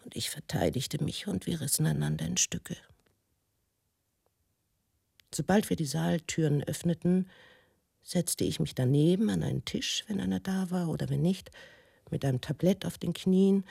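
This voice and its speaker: A woman playing an embittered, wounded, educated wife in a radio drama monologue, husky and brooding, in deliberate, halting phrases. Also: sits low, dark tone, no room sound, clean studio sound, heavily acted. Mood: weary, wounded. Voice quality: husky, gravelly.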